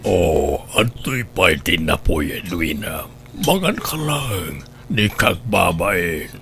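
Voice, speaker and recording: A man's voice: sits low, sing-song, slow, gravelly, over music, exaggerated voice. A man with an Ilocano Filipino accent playing an easygoing, hospitable older neighbour in a comedy drama. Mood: inviting. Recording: faint background ambient noise.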